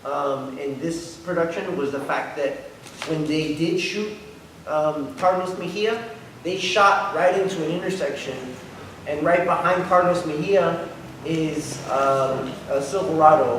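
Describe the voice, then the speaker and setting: A man's voice: on the high side, a little slow. A man talking informally in a post-show discussion, thinking aloud in a hesitant way. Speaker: member of a theater production team. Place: large echoey indoor arts venue. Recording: very echoey hall, distant mic, faint noise.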